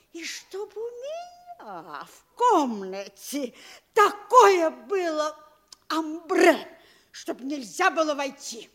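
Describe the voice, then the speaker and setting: An actress playing a vain, affected mayor's wife with social ambitions, gushing theatrically in a Russian comedy audio play. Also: female voice, high-pitched, a bit bright, full character voice.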